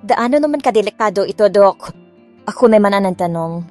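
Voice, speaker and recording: A woman's voice: mid-range voice, lively intonation, fairly quick, darkish tone. A woman narrating a scripted first-person drama story, with music behind her. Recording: music bed under the voice.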